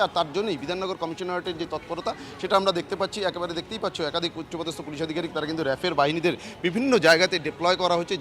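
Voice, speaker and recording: Male field reporter, breakneck delivery, high pitch, emphatic repetition, outdoors. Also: a bit bright. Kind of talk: live on-the-spot TV news reporting. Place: outdoors outside a city courthouse.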